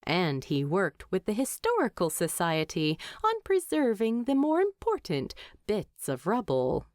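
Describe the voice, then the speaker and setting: A woman narrator reading fan fiction aloud in an expressive storytelling style. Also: medium pitch, measured pace, no room sound, studio quality. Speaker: audiobook narrator.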